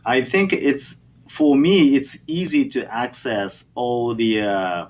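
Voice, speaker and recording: A man with a Japanese accent, a native Japanese speaker, answering interview questions haltingly with many fillers and restarts. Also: medium pitch, slight background noise. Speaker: sake brewer.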